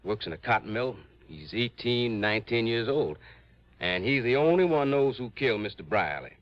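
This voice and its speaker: An American actor playing an earnest insurance manager who explains things haltingly in a 1950s radio drama. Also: male voice, medium pitch, expressive, lightly acted. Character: earnest male insurance office manager briefing an investigator. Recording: dull old radio recording.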